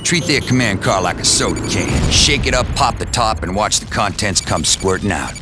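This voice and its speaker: A stern military commander barks terse orders in a low, steady voice, as a man plays him. Music plays under his briefings, and he treats every mission like a chess move. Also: normal speed, natural voice. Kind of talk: game cutscene briefing.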